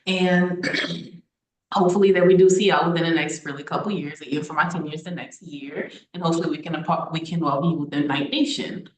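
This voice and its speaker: A woman's voice: low-pitched, fairly even intonation, brisk, echoey room, poor audio. A woman academic advisor, walking an audience through slides. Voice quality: slightly raspy from a cold. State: getting over a cold. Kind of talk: presenting a college info session.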